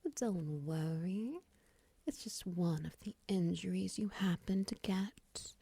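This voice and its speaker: Woman acting a breathy, soothing spider woman. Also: on the low side, lively intonation, slow pace, no room sound, heavily acted. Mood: soothing, tender. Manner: soft, soothing, breathy murmur, teasing endearments. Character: friendly, flirty, lonely drider spider woman nursing stranger. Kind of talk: intimate audio roleplay, ASMR-like comfort.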